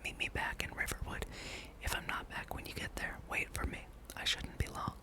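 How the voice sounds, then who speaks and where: A person whispering the lines of a wary, serious rebel plotter from a fantasy game, breathy and conspiratorial. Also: brisk, lightly acted. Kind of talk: whispered read-aloud of fantasy game dialogue.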